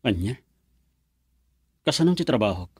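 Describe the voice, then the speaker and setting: A man's voice: on the low side, big pitch swings, normal speed, breathy edge, no echo, clean studio sound, exaggerated voice. An actor playing a reluctant, lovelorn young man in a radio drama, with an Ilocano accent. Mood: reluctant, terse. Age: young adult. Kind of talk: Filipino radio drama acting.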